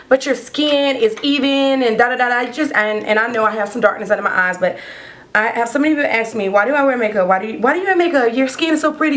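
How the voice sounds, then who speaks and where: A woman with a hoarse, worn voice chats casually while applying her makeup. She rambles from one thought to the next at an easy pace. Her pitch sits in the middle range. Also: a bit bright. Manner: chatty, rambling, talks while doing makeup. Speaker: beauty vlogger. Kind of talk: casual get-ready-with-me chat.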